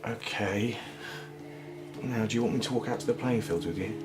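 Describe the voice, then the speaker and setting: An actor playing a firm but concerned British male figure of school authority in an audio drama, with a brisk British manner. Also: on the low side, music in the background, lightly acted.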